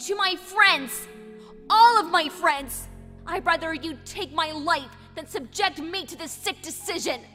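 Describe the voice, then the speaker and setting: A woman's voice: extremely high pitch, unhurried, sharp tone, heavily acted. A woman voicing anguished young cartoon pony captives, pleading desperately in a dramatic audio story. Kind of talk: dramatic fan fiction audio reading. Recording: long echoing reverb with music behind.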